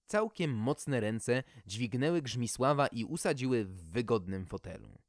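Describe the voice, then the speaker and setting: A man's voice: mid-range voice, animated, a little slow, dry recording, clean studio sound. A man reading a scripted fairy tale as a storyteller, with a wry delivery.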